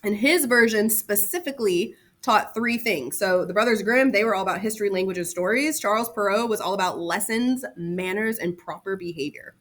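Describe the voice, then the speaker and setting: A woman's voice: medium pitch, a bit bright. A woman teacher giving a casual lesson to students, talking informally with slang and chatty asides and repeating key terms.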